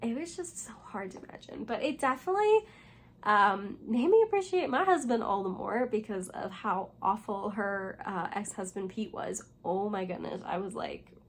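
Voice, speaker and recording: A young woman with a hoarse voice, still recovering from losing it, chatting casually about books in a vlog and rambling with lots of fillers. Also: medium pitch, expressive, normal speed, slight background noise. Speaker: booktuber. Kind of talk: casual reading vlog chat.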